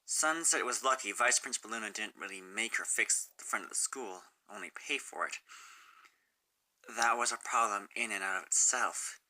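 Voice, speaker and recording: A man's voice: lowish voice, moderate pace, bright, forceful tone, rough recording. A man reading a sad story aloud as a scripted narration, in a dramatic way with the odd stumble. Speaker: fan fiction narrator.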